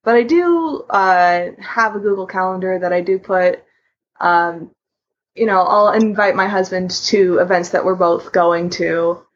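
A woman chatting casually as a podcast co-host, thinking aloud in a halting way. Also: fairly low pitch. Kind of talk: casual chat. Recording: dull lo-fi voice recording.

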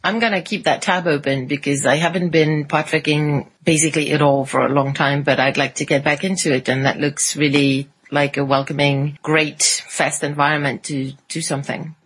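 Low-voiced woman podcast co-host. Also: steady tone, moderate pace, hardly any pauses, breathy edge. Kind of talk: conversational news reading on a fan podcast.

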